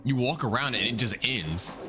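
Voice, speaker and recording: Excitable man reacting to a horror video. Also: medium pitch, normal speed. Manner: excitable, swears a lot, thinks out loud. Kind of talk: casual reaction commentary.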